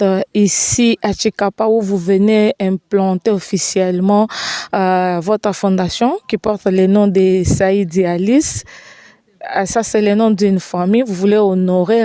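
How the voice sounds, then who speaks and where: A woman's voice: mid-range voice, mellow, no room sound. A woman hosting a TV interview in a studio, asking formal, wordy questions with many fillers. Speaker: TV host. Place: TV studio set.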